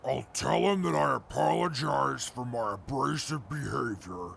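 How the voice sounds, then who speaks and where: A man playing a proud, stubborn super mutant in a post-apocalyptic audio drama, gravelly and pompous in his formal wording. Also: mid-range voice, measured pace, a bit bright, slight background noise. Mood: grudging, haughty.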